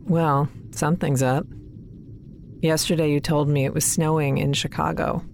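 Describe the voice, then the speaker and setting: Weary, very low-voiced female scientist. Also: little pitch movement, normal speed, creaky, darkish tone, a little hiss or hum. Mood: dry, weary. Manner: dry, terse, guarded. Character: withdrawn, weary female scientist doctor on undersea base. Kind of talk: naturalistic science fiction audio drama.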